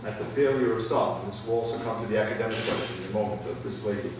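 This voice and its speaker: A middle-aged man answering audience questions at a public talk, thoughtful and halting, with many restarts. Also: on the low side. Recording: echoey hall, far from the mic, faint noise.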